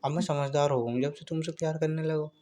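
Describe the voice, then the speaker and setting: A man in a casual phone chat, rambling and teasing in a drawn-out way. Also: a little high, steady tone, warm tone. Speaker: caller in a couple's phone chat. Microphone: phone call.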